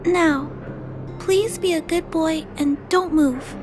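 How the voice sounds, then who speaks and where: A woman voicing a gentle, caring little girl in a video game, with an earnest, coaxing manner. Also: extremely high pitch, slow pace. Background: faint background music.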